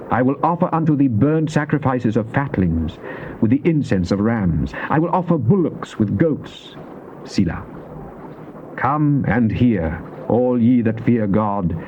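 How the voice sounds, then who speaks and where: A man giving a solemn, theatrical, declamatory dramatized reading of scripture. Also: lowish voice. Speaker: Bible reader. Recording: dull, lo-fi cassette with faint noise.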